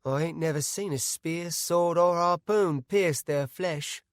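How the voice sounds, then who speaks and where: A man voicing a red-haired young village man who talks in rough rustic grammar. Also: a little high, unhurried, no echo, studio quality, pitched above the performer's own voice, lightly acted. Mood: plain.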